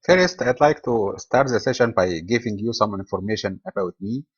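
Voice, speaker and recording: A man from Egypt presenting a trading webinar as host, delivering a steady scripted lecture with non-native phrasing. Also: on the low side, normal speed, breathy edge, no room sound, highs cut off.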